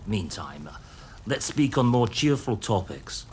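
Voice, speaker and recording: A kindly, cautious gentleman of a bygone age in an audio drama. He speaks politely and with care in a fairly low voice, over faint background noise. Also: big pitch swings, measured pace. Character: cautious, kindly Scottish gentleman, loyal friend. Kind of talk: audio drama acting, period dialogue.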